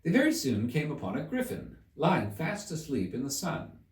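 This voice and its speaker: A man giving an expressive scripted narration of a story as its reader. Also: on the high side, normal speed. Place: room inside a museum. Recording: echoey room, distant mic.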